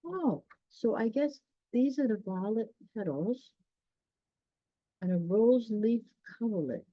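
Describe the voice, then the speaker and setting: A woman's voice: on the high side, lively intonation, slow, no room sound, dull audio. A woman reading a children's story aloud expressively, with folksy, chatty asides to her listeners.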